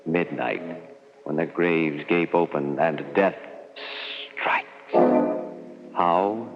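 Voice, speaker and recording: A man's voice: extremely low pitch, drawn-out words, lo-fi sound, over loud music. A man narrating a 1940s radio horror programme in an ominous, portentous scripted style. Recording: band-limited old radio recording.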